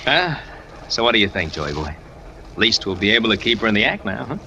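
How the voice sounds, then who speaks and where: An American actor playing a young trapeze flyer and loyal pal, breezy and slangy, in 1940s radio drama style. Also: male voice, lowish voice, big pitch swings, fast, bright, forceful tone, highs cut off, full character voice. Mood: jovial teasing. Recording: old radio recording, faint noise.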